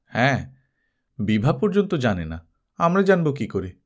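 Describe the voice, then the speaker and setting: A man voicing a sly, socially smooth woman in a story, with a light, needling manner. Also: medium pitch, sing-song, unhurried.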